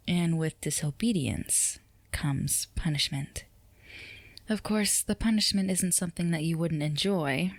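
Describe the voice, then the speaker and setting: Woman acting a low-voiced, flirtatious, dominant boss. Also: no echo. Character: flirtatious, dominant female boss, teasing and self-assured. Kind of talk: intimate roleplay ASMR audio drama.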